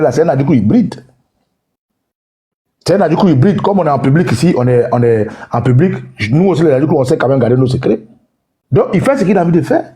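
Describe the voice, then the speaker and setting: A man's voice: high voice, mellow. A man speaking in a heated online debate, emphatic and lecturing, repeating himself for force. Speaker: participant in an online debate room. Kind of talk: heated political talk.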